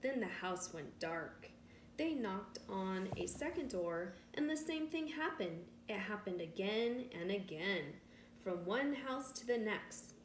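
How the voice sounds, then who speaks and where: A woman reads a picture book aloud as a teacher would for children. Her delivery is slow and expressive, and she explains things in simple terms. The room echoes around her, with slight background noise. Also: mid-range voice. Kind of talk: storybook read-aloud with simple explanatory asides. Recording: roomy echo with faint background noise.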